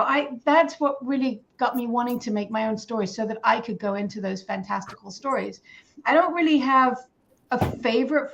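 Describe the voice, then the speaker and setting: A woman's voice: fairly high pitch, a bit bright, lo-fi sound. A middle-aged woman author chatting casually on a panel, with lots of fillers and restarts.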